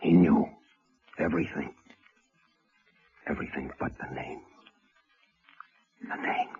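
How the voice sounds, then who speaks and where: An actor playing an anxious, guilt-haunted ex-convict in a radio suspense drama, halting and brooding as he tells his story. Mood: hushed dread. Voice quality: low-pitched, hesitant voice. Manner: nervous, halting, stammering, brooding. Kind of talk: old-time radio suspense acting. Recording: muffled vintage radio recording with music.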